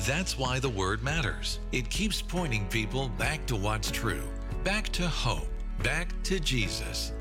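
An American man working as a station announcer, reading scripted promotional narration. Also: mid-range voice. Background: music.

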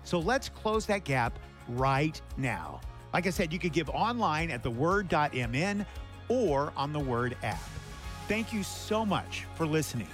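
American guy on the radio doing a donation appeal, voice a bit on the high side, soft music bed. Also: sing-song, measured pace. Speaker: radio station general manager. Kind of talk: fundraising radio announcement.

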